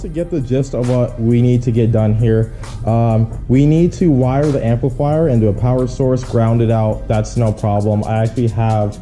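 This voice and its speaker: A man's voice: medium pitch, normal speed, dark tone, faint music behind. A man talking in a casual, laid-back, slangy way as a car vlogger explaining a hands-on job. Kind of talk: casual how-to chat.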